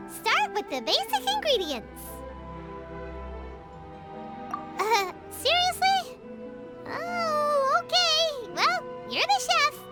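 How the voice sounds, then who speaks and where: A tiny, bubbly fairy sidekick from a video game, squeaky and extremely high. The delivery swoops up and down with unhurried pacing. Music plays behind the voice. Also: female voice, heavily acted. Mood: chatty. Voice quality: squeaky, childlike. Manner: bubbly, speaks of self in third person. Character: tiny childlike chirpy fairy companion, excitable food lover.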